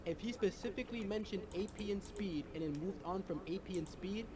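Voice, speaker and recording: A man in a heated online debate, talking fast and accusing his opponent of dishonesty. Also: high voice. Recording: loud background noise on a voice call.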